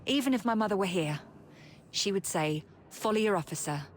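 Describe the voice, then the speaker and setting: An actress playing an earnest, loyal young soldier in a game. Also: female voice, medium pitch, lively intonation, brisk, a little hiss or hum, lightly acted. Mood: earnest, resolute. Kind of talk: game voice acting.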